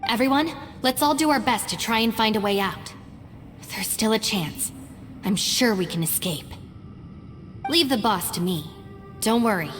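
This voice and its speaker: A woman's voice: a little high, animated, moderate pace, long pauses, natural voice. A woman playing a level-headed, loyal young female gang deputy in a video game, composed, earnest and reassuring. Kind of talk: video game cutscene acting. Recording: long reverb, game music behind.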